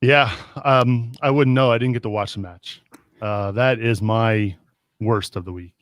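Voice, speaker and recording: A Midwestern American man hosts a casual sports talk show with a middle-pitched voice. He gets heated and animated as he rants. The sound is dry and close to the mic. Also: unhurried, dry recording. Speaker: podcast host.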